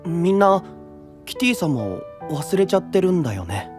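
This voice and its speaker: Earnest young knight, gentle, protective, wide-ranging intonation. Fantasy drama with faint music. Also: male voice, sits high, big pitch swings, normal speed. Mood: earnest, encouraging. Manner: gentle, reassuring.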